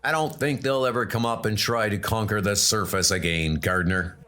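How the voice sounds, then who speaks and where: A man's voice: lowish voice, normal speed, bright, forceful tone, slight background noise, voice pushed down. A man playing a brave adult armored knight, speaking in an earnest, rallying way. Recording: music playing underneath.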